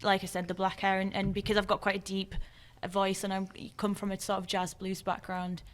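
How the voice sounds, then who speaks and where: A woman's voice: mid-range voice, completely flat delivery, a little fast, slight background noise. A woman from the UK speaking as a podcast guest, chatting casually and a little hesitantly, correcting herself as she goes. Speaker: interview guest, singer-songwriter. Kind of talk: casual chat.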